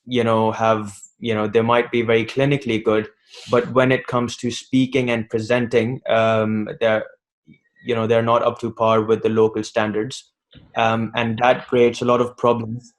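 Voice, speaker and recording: Man, doctor on a webinar panel, monotone, halting with ums, video call audio. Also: mid-range voice. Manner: hesitant, many ums and restarts. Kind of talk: casual advice on a video call.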